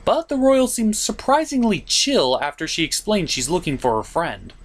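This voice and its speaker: Guy doing a funny movie review, sarcastic n exasperated, pitch all over the place. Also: big pitch swings, moderate pace. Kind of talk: comedic film review narration.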